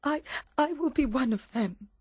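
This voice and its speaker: A man voicing a distressed young noblewoman in a storybook, tragic yet resolute, in a breathy, soft tone. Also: very high voice, lively intonation, normal speed, dry recording, clean studio sound, voice pushed up, exaggerated voice. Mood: anguished.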